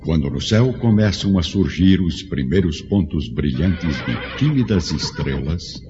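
A man's voice: low voice, lively intonation, measured pace. A man narrating a radio drama as a solemn storyteller, with dramatic scripted delivery. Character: solemn storyteller of an ancient epic. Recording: dull lo-fi radio drama, music behind.

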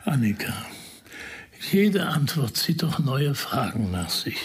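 A man acting in a radio crime drama as a shrewd investigator who speaks deliberately and persuasively, with a husky, creaky voice. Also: medium pitch, very animated, full character voice. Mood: gently pleading. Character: shrewd male investigator explaining a dismissal intrigue to a friend.

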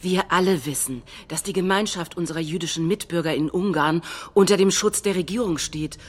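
Low-voiced woman reciting political statements. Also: brisk. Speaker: stage reader of political quotations. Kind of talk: scripted documentary theatre recitation.

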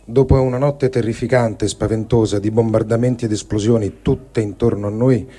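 A man reading a first-person war diary aloud as testimony, sober and measured in his phrasing. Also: medium pitch, dark tone. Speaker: reader of written testimony.

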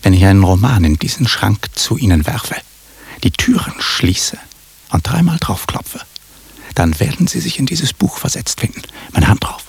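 A showy shady magician in a radio play, raspy n creaky, selling hard, voice all over the place. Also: male voice, fairly low pitch, very animated, moderate pace, whispered, exaggerated voice. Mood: showy salesmanship. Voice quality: husky, creaky. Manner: salesman's patter, swooping emphasis. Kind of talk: radio drama acting.

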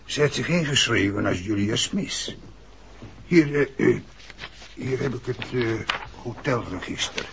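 A man's voice: medium pitch, lively intonation, measured pace, creaky, fairly bright tone, a little hiss or hum. An actor playing a gossipy, plain-spoken older male innkeeper, talking in a folksy, colloquial way in a 1960s radio drama. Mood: confiding, chatty. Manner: colloquial, folksy, peppers speech with tag questions. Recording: muffled old radio recording.

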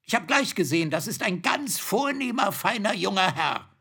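An actor playing a shady, sentimental old businessman in a radio play, raspy and self-important, with drawn-out theatrical delivery. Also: high voice, very animated, bright, forceful tone, heavily acted. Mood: proud, sentimental. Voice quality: raspy, creaky.

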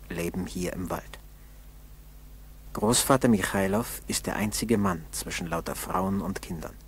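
A man narrating a scripted 1960s German school radio drama in a measured way with dramatic pauses. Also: fairly low pitch, slightly rough. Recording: noisy old 1960s radio recording.

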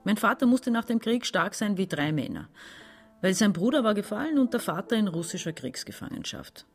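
A woman's voice: medium pitch, lively intonation, a little fast, soft background music. An actress playing an embittered, domineering woman around forty with an Austrian accent, emphatic and reproachful in a radio drama. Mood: wistful, proud. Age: 40s. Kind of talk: German-language radio drama.

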